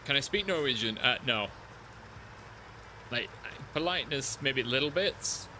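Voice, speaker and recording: A man chatting casually with his live-stream chat while playing a driving game, relaxed and talkative. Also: mid-range voice, measured pace, a little hiss or hum, low-quality recording.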